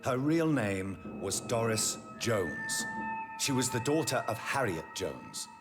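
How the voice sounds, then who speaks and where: Over clear background music, a brooding vampire doctor in a dramatic game cutscene. The voice is fairly low with wide, expressive movement. He sounds stern, formal and accusing. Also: slow, full character voice. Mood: grave, probing. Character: grave, accusing English gentleman vampire doctor, brooding and righteous.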